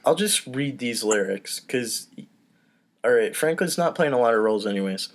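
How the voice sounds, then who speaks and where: A man's voice: mid-range voice, lively intonation, normal speed. A man hosting a comedy podcast, casually reading out stage directions and steering a table read.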